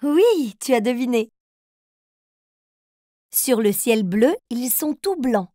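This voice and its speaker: A woman's voice: fairly high pitch, lively intonation, slow, warm tone, dry recording, studio quality. A woman playing a patient, encouraging mother figure who tells riddles to young children in a cartoon.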